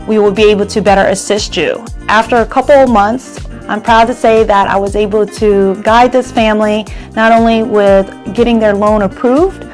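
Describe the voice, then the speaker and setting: A woman from the United States speaking in an earnest, measured way, giving a personal testimonial as a real estate and mortgage professional. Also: medium pitch. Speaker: real estate agent and mortgage loan originator.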